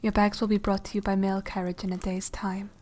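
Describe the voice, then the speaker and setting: A woman playing a polite, secretive young noble vampire lady in a soft, half-whispered ASMR roleplay, speaking formally and with measured care. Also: medium pitch, little pitch movement, lightly acted. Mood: courteous, reserved. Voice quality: soft, breathy whispering edge. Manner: hushed, formal, measured, genteel.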